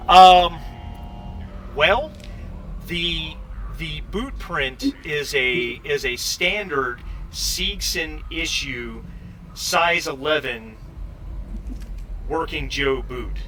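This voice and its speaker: A man narrating a tabletop role-playing game as game master, describing scenes in a halting, improvised way. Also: a little high, animated, pushed and bright. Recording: distant mic with clear background noise.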